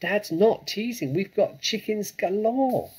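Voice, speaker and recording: Man as an excited, high-pitched young fox. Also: takes it slowly, husky, heavily acted. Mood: gleeful. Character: excited, cheeky small young foxes.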